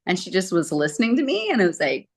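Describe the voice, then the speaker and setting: A woman in her forties, a singer being interviewed, telling her story in a chatty, animated way. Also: lowish voice, fast talker. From Southern California. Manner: chatty, animated, acts out quotes.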